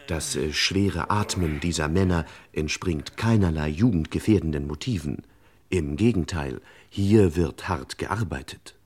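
An actor playing a wry, pompous, mock-solemn narrator of a comic crime radio play. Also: male voice, very deep voice, measured pace, darkish tone, slightly rough, full character voice.

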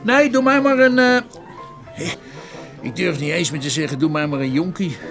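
Folksy Dutch police sergeant, higher-pitched. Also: male voice, animated, measured pace, a bit bright, soft background music. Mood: chummy, hesitant. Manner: colloquial, stumbles over long words. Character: folksy, blunt, eager male police sergeant. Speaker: actor in a radio play. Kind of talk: Dutch radio drama acting.

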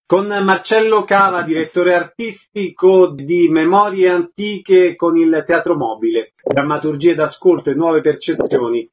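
A man works as a radio host, delivering scripted announcements and introductions. His voice sits a little higher than usual for a man. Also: slightly rough.

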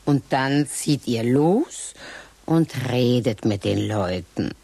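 An actress playing an odd, dreamy woman who talks slowly about a strange pregnancy in a radio play. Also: extremely low pitch, lively intonation, gravelly, dark tone, dry recording, heavily acted. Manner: slow, dreamy, scatting to herself.

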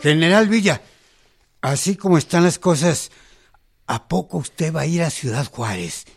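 A man's voice: on the high side, animated, a little slow, breathy edge, soft background music, full character voice. An actor playing a curious subordinate questioning his general in a radio drama.

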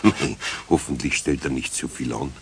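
A man's voice: extremely low pitch, normal speed, heavy background noise. An actor playing a good-natured, skeptical older master craftsman with a Bavarian accent in a 1970s children's radio play. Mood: worried.

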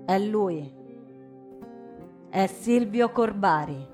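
A woman narrating a story in the first person as a stage actress, solemn and deliberate, with dramatic pauses. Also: mid-range voice, expressive, a little mellow. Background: acoustic guitar music.

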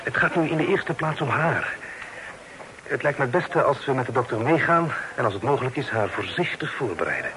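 An actor playing an earnest gentleman in a period radio drama. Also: medium pitch, lively intonation, fairly quick, noisy background, close to an everyday voice. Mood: calming, grave.

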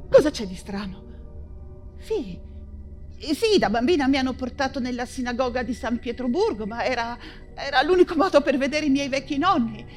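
Tormented feverish woman writer, high voice, really slow halting delivery, stage monologue for radio. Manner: halting, repeats words and phrases, fraught. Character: anguished persecuted woman writer, feverish mother in wartime deportation. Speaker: stage actress. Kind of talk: theatrical radio monologue, intense stage acting.

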